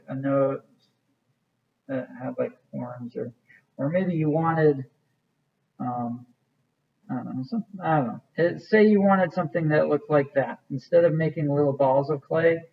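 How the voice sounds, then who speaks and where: Man, art teacher, casual rambling demo, slightly high voice, dull lo-fi sound. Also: unhurried. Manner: casual, rambling, many fillers. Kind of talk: classroom demonstration.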